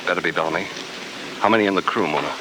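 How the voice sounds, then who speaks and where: An actor playing a tough, wry adventurer in 1940s radio drama, with a dry, terse American delivery. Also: male voice, deep voice, rapid-fire, close to an everyday voice. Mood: cool, brisk. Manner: dry, terse, hard-boiled. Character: tough, wry, unflappable male adventurer hero. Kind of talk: 1940s radio drama acting and first-person narration. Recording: noisy old 1949 radio recording.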